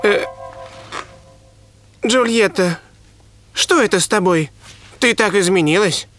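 An actor playing a young, lovestruck dog musketeer in a cartoon dub, boyish, dreamy and excitable. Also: mid-range voice, takes it slowly, soft background music. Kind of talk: cartoon dubbing.

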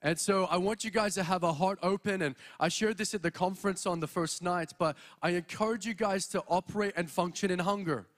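A young man from Australia with an Australian accent, preaching an energetic sermon as a guest speaker from a church stage into a handheld mic. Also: high voice, fairly quick, few pauses. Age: young adult. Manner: energetic, exhorting, walks the stage.

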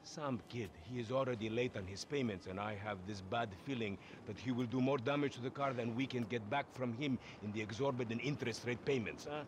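A man's voice: medium pitch, brisk, few pauses, natural voice. Against street and car noise, a slick middle-aged boss voiced by a man schemes away in a video game. He wheedles theatrically, like a salesman working his mark. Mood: conspiratorial. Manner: wheedling, theatrical, peppered with "huh?". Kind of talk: video game character acting.

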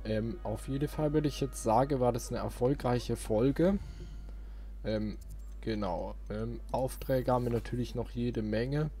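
A man's voice: medium pitch, a little slow, warm tone. A man giving casual gameplay commentary as a let's play host, rambling and thinking aloud. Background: game music.

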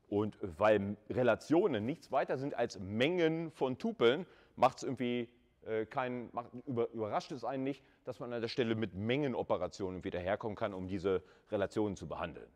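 A man lecturing at university in a conversational way, repeating words for emphasis and adding short checking tags. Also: mid-range voice. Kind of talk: informal screen-recorded lecture with live coding and slides.

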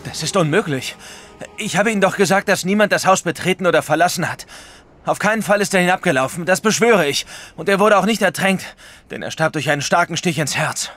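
A man's voice: high voice, expressive, a little fast, a bit bright. An actor playing a stout, earnest policeman, emphatic and agitated, in a radio play. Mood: agitated, insistent.

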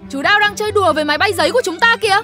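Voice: very high-pitched, rapid-fire. A voice actor playing a cheerful, helpful young panda in a children's cartoon, eager and full of exclamations. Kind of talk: children's cartoon dub acting. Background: loud cartoon background music.

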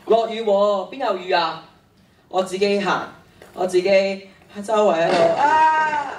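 An echoey, slightly noisy stage recording, picked up from a distance. A teenage boy acts out a troubled schoolboy hiker trapped in a cave, speaking Hong Kong Cantonese in radio drama style. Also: high voice. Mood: distressed. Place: stage of a large hall. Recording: echoey stage, distant mic, faint noise.

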